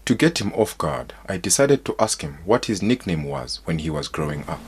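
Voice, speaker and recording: A man from Zimbabwe, a journalist, narrating a documentary in a measured, formal way. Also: low voice, sing-song, slight background noise.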